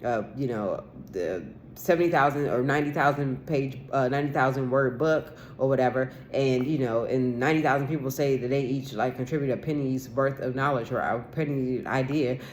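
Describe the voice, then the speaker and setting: A woman's voice: a bass voice, fairly quick, a little hiss or hum. Woman, 40s, solo vlogger. Rambling, insistent monologue with lots of fillers and casual profanity. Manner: rambling and aggrieved, with many restarts and fillers; swears casually and repeats herself insistently.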